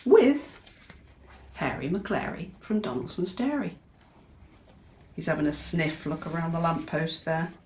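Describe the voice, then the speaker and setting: A British woman teacher reading a picture book aloud to young children, expressive and chatty, often asking her listeners questions. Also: low-pitched, a little slow, long pauses, slight background noise. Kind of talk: animated children's storytime read-aloud with chatty asides. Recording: echoey, reverberant room.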